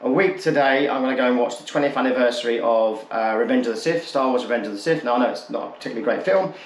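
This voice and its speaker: A man in his late forties speaks casually to his viewers in a medium-pitched voice. He is candid and loose, often circling back to reword what he has said. The recording has a clear room echo. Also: slight background noise. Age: late 40s. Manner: candid, rambling, repeats and rephrases himself. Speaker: vlogger introducing his channel. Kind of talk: casual talk to camera. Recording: echoey untreated room.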